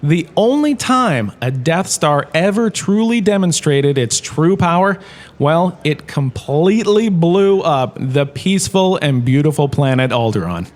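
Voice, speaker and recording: An actor playing a chatty, self-important male professor in a comic audio drama lecture, with a theatrical, conversational manner. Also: fairly high pitch. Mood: wry, grandiose. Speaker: lecturer, host of the show.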